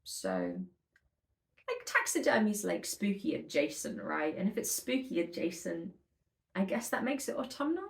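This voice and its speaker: Low-voiced woman, chatty vlogger. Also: very animated, unhurried, vocal fry. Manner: informal, hesitant, self-correcting, rambling storytelling. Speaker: book vlogger, host. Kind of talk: casual chatty book vlog, reviewing reading.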